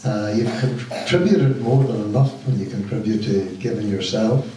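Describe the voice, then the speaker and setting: A man giving an informal, sincere thank-you speech in a halting way. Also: lowish voice, dark tone. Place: large echoey indoor hall, recorded from far off. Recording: echoey hall, distant mic, noisy amateur recording.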